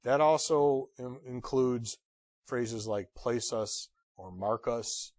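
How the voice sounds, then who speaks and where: A man giving an instructional lecture as a trainer, blunt and direct with wry humor and emphatic pauses on key phrases. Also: mid-range voice, a little mellow, no echo, clean studio sound. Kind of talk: instructional lecture on radio procedure.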